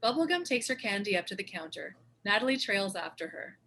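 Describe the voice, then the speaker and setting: A woman's voice: mid-range voice, big pitch swings, brisk, sharp tone. A woman reading screenplay stage directions as scripted narration.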